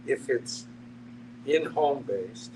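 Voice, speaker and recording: A man thinking aloud in a measured, reflective way during a panel discussion. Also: medium pitch, expressive. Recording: distant mic with clear background noise.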